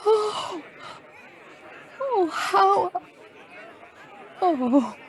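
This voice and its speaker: An actress playing a fragile, lovelorn client in a noir audio drama, speaking in a halting, emotional, pleading way. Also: female voice, very high-pitched, animated, a faint crowd, full character voice. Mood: dismayed. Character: fragile, lovelorn, sentimental woman client in distress.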